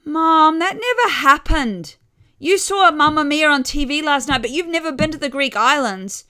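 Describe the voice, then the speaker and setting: A woman's voice: sits high, animated, normal speed, bright, forceful tone, voice pushed up. A woman voicing an exasperated younger sister in a short story, firmly and emphatically correcting her mother. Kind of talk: audio short story character voice.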